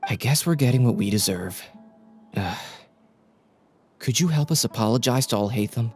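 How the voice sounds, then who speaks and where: A man's voice: mid-range voice, expressive, moderate pace, a little mellow, faint music behind, close to an everyday voice. An actor playing an anxious, contrite male academy student in a video game.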